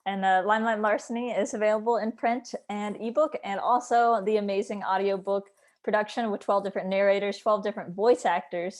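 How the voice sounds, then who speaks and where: A woman hosting a casual interview, talking conversationally with her guests. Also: medium pitch. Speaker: host.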